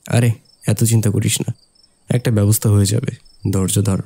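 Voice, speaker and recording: A man playing a loyal young village friend who tells a cartoon story in a sentimental, measured way. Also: on the low side, fairly even intonation, warm tone, breathy edge. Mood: friendly. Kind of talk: dramatized story narration in an amateur cartoon. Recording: music behind the voice.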